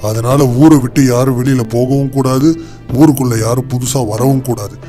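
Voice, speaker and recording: A man voicing a dutiful chief palace guard, formal and official, in an animated moral story. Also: mid-range voice, moderate pace, over music, natural voice. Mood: grave.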